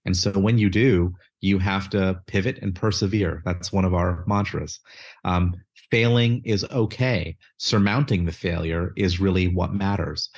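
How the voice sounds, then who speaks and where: A man's voice: deep voice, fairly even intonation, a little slow. A man answering interview questions, articulate and methodical, listing points with a few fillers. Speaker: guest, education nonprofit chief executive.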